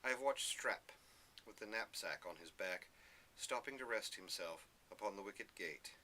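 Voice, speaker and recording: A man giving an expressive literary reading in the first person, with measured, dramatic phrasing. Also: lowish voice, slight background noise. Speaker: reader of a classic novel excerpt.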